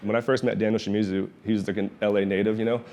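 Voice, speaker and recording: A man's voice: lowish voice, level tone, rapid-fire, a little mellow, slight background noise. A pro skateboarder from Oklahoma City whose first language is Vietnamese, telling his life story casually and laid-back, with lots of "like" and swearing. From Oklahoma City, USA.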